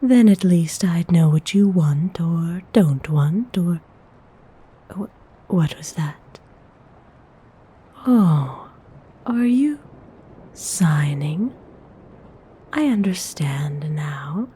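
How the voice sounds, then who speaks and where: A woman plays a courteous vampire noblewoman for ASMR, tender yet hungry. Her voice is a very breathy, husky whisper, low and mellow. She speaks with hushed, old-fashioned politeness. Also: lively intonation, heavily acted. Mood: curious, soft. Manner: slow, hushed, tenderly formal.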